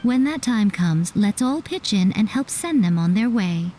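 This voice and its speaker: A woman playing a composed, playful fox shrine maiden in a video game, speaking in a poised, measured, gently teasing way. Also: medium pitch, expressive, a little hiss or hum, close to an everyday voice. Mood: calm, instructive. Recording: game audio with music behind. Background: music.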